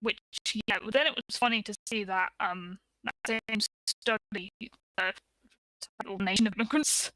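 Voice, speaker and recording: A woman's voice: medium pitch, normal speed, pushed and bright, no echo, low-quality recording. A woman, explaining things casually as a co-host in an informal talk.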